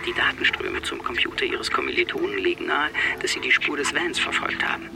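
A man's voice: on the high side, a little fast, creaky, noisy background. A man playing a subservient surveillance intelligence in a German radio drama, reporting formally and precisely to his master. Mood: matter-of-fact, deferential. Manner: formal, deferential, clipped reporting. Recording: loud music behind the voice.

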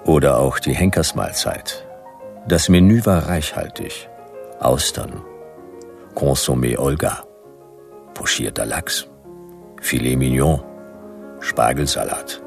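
Gravelly, very deep laconic detective. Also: male voice, slow, pauses often, faint music behind, full character voice. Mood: wry, watchful. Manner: dry, clipped, terse narration. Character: laconic hardboiled male private detective, aged 96, narrating. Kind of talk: radio play noir narration.

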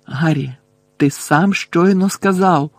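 A man's voice: a little high, slow pace, dry recording. A man voicing an earnest, anxious teenage girl witch in an audiobook, pleading and stubbornly reasoning. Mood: hissing, insistent.

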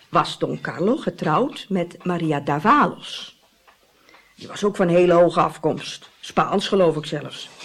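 A woman's voice: fairly low pitch, heavily acted. A woman playing a hearty, gossipy Italian innkeeper who relishes telling scandal in a Dutch radio play. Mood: chatty, confiding. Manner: theatrical, relishing storytelling with exclamations. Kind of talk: Dutch radio drama acting.

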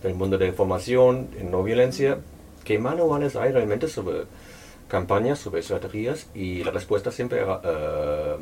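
A non-native Spanish speaker presenting their book at a public talk, speaking hesitantly and thoughtfully with many pauses and fillers. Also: sits low, animated, a little mellow, recorded from a distance, a little hiss or hum. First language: not Spanish. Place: local venue at a public presentation.

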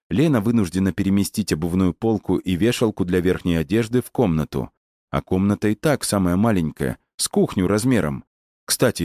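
A man's voice: low voice, dark tone, no echo, clean studio sound. A man voicing a bold, analytical boy in an audiobook story, reasoning things out step by step. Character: bold, analytical boy, eager to justify himself.

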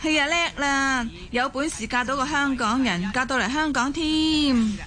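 A woman's voice: high-pitched, unhurried, pushed and bright. A woman acting a nagging, scornful Hong Kong housewife in a Cantonese radio drama, scolding and sarcastic. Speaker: voice actor in an audio drama.

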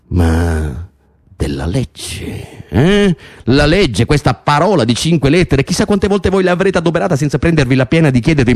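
An actor playing a pompous, falsely humble tyrant king in radio theatre, theatrical and unctuous, prodding his people with rhetorical questions. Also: on the high side. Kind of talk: stage-like radio theatre monologue.

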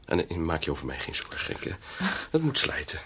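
An actor playing a sincere, tender suitor in a Dutch radio drama, husky and breathy, halting and repeating his words. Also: very deep voice, huge pitch swings, fairly bright tone, exaggerated voice. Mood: resigned, hurt.

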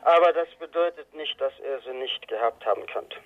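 Over a thin, narrow phone line comes a money-minded Russian informant. He is evasive and halting, bargaining over what he knows in a mid-pitched radio drama voice. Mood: cagey, bargaining. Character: cagey money-minded Russian former Soviet embassy informant. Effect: telephone voice.

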